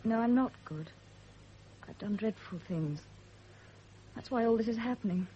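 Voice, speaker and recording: Actress as a mellow, anxious young wife. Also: female voice, a little high, normal speed, natural voice. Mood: remorseful, anxious. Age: young adult. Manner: earnest, apologetic, effusive. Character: anxious, devoted young pregnant wife, self-blaming and emotional. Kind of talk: period radio drama acting. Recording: dull, noisy old radio drama recording.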